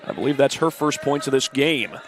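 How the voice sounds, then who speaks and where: Congested man calling high school basketball. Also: fairly quick, slight background noise. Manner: steady, informative play-by-play with stat and schedule asides. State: congested from the flu. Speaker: play-by-play announcer. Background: faint gym ambience, ball bouncing.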